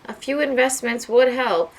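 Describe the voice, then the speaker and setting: A woman voicing a polite, modest older gentleman in a storybook, in a measured, genteel manner. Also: a little high, a little hiss or hum, pitched above the performer's own voice, close to an everyday voice, rough recording. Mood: mild, measured.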